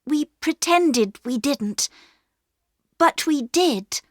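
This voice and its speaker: A woman's voice: high voice, lively intonation, extremely slow, dry recording, studio quality, voice pushed up, heavily acted. A woman voicing an honest, protective young girl who speaks earnestly.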